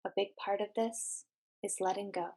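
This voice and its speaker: Female meditation guide, mid-range pitch, measured scripted delivery with deliberate, instructional phrasing. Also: fairly even intonation, darkish tone.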